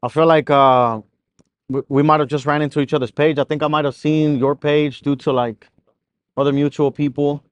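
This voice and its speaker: A man's voice: on the high side, flat delivery, normal speed, clean tone, no echo. A man hosting a casual podcast interview, asking his guest questions in a laid-back, chatty way. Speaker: podcast host.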